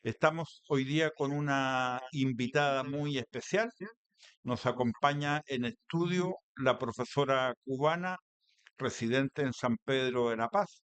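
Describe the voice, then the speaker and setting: A man with a Chilean accent hosting a radio interview in measured, deliberate phrases. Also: medium pitch, fairly bright tone, clean tone, dry recording. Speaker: radio host. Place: radio studio.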